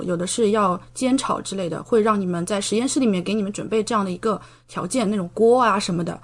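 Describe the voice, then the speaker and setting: A woman in her thirties from China chatting casually as an interview guest, telling stories vividly and often checking in with the listener. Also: a little high. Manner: chatty, animated storyteller who re-enacts speech and checks in with the listener. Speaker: podcast guest, medical doctoral student.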